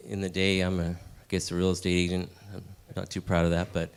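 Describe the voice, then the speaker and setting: A man with a very low voice introducing himself at a meeting. His tone is self-deprecating and he chuckles at himself. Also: monotone, fast talker, gravelly, a crowd in the background. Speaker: real estate agent. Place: meeting room.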